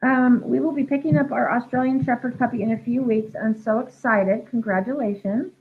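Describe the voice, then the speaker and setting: A woman's voice: fairly high pitch, fairly even intonation, moderate pace. Congested woman on tinny livestream audio. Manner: chatty, many ums and restarts, reads questions aloud. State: losing her voice, congested from a head cold. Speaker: certified dog trainer answering viewer questions. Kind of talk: casual live Q&A.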